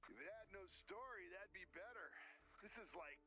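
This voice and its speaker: A man's voice: high-pitched, expressive, normal speed. A man playing a dry, cynical crew member in a video game, over a radio. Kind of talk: game dialogue acting. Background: music.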